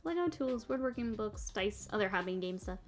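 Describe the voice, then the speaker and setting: A woman's voice: mid-range voice, lively intonation, fairly quick, soft background music. A woman in her thirties with a mixed northern US and Texas accent, chatting casually on a livestream while she paints miniatures, reading chat aloud and laughing often.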